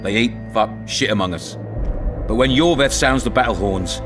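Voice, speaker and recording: An actor playing a cunning, blunt and sardonic town commandant in a dark fantasy video game. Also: male voice, mid-range voice, moderate pace, close to an everyday voice. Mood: blunt, persuasive. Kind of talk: dramatic video game acting. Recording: music behind the voice.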